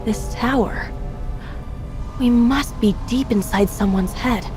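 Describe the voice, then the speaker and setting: Higher-voiced, teasing young adventurer woman. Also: takes it slowly. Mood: determined, thoughtful. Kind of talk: video game voice acting. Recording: music behind the voice.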